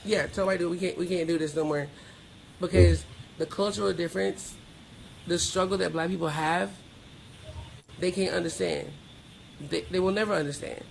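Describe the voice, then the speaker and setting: A woman's voice: lowish voice, fairly even intonation, normal speed, creaky, long pauses, a little hiss or hum, rough recording. A middle-aged American woman telling personal stories candidly as an interview guest, with emphatic, colloquial delivery. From Georgia, United States.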